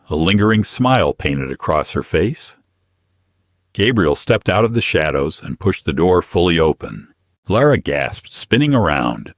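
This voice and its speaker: Male audiobook narrator, very low voice, expressive melody, dramatic scripted read, dry studio-like sound. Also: no echo.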